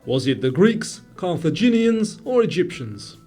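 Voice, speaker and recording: High-pitched male quiz host, lively intonation. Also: measured pace. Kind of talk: scripted quiz narration. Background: background music.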